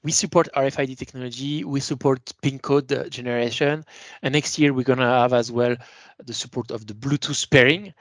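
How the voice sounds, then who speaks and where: A man's voice: medium pitch, steady tone, a little fast, no room sound, rough recording. A man giving an explanatory product webinar as a sales presenter, talking informally and stressing his key words.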